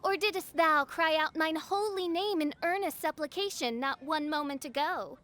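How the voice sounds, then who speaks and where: Self-styled teenage princess of darkness, high voice, pompous archaic grandeur, game cutscene. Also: female voice, normal speed, a bit bright. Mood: imperious. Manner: pompous, theatrical, archaic speech. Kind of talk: video game cutscene acting.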